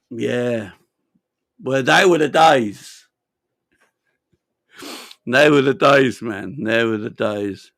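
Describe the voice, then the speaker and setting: A man hosting a casual football chat, rambling, animated and a bit sweary. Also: medium pitch, no echo, clean studio sound. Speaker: football channel host.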